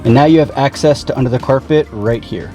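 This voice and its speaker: A man's voice: medium pitch, fast talker, dark tone, soft background music. Casual man explaining a hands-on install. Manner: informal, plain-spoken step-by-step explaining. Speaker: vlog host. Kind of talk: casual hands-on how-to talk.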